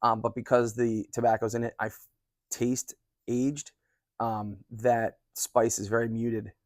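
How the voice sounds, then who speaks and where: A man's voice: mid-range voice, level tone, unhurried, no echo, studio quality. A man in his forties hosting a casual chat as a cigar reviewer, rambling along in an appreciative, polite way. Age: 40s. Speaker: cigar reviewer and video host.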